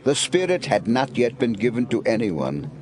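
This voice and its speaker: A man's voice: medium pitch, very animated, normal speed, a crowd in the background. A man narrating an audio Bible drama in a scripted storytelling style.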